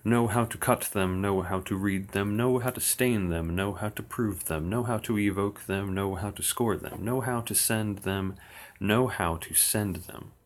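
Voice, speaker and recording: A man reciting old verse slowly and steadily on his livestream, with dry asides. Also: lowish voice. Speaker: occult streamer. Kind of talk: reading verse aloud on a livestream.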